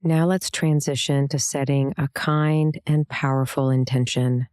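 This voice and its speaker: A woman leading a guided meditation, giving calm, gentle, soothing instructions with pauses between phrases. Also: very deep voice, clear, resonant tone, no room sound, clean studio sound. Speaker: meditation guide, yoga teacher.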